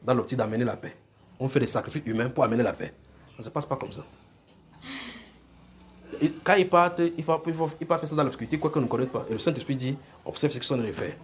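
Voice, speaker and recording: A man's voice: medium pitch, fast, pauses often, a little hiss or hum. A man preaching a sermon in a church service, earnest and insistent, often repeating himself.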